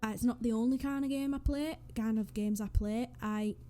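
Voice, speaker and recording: A woman's voice: on the high side, steady tone, normal speed, dark tone. A young woman from northwest England with a Northwest English accent, chatting casually and rambling with self-deprecating asides over a game build. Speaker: Sims YouTuber. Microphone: USB desk microphone.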